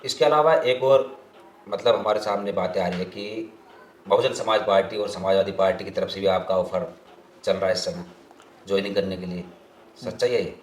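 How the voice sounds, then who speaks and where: Deep-ish guy interviewer asking probing political questions, echoey room sound. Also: brisk, slight background noise. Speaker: news interviewer.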